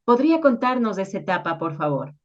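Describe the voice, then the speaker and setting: A woman's voice: mid-range voice, big pitch swings, quick pace. Woman interviewer, dull lo-fi sound. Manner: courteous, formal. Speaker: interviewer and show host. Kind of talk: formal interview.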